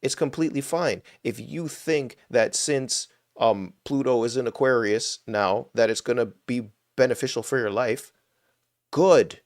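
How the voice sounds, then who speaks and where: A man's voice: mid-range voice, measured pace, no room sound, clean studio sound. A man, hosting a casual live stream and giving emphatic, conversational answers to viewer questions. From Toronto, Canada.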